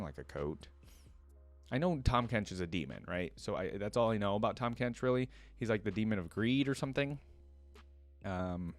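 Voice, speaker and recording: A man's voice: on the low side, no echo. A man hosting a reaction video, giving casual, rambling commentary on video game voice lines.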